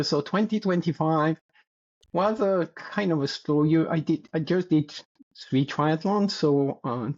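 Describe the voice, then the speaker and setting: A man around sixty with a French accent, a guest in a casual interview, talking in a halting way and searching for words. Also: fairly high pitch, a little mellow, muffled recording. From France. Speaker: guest athlete.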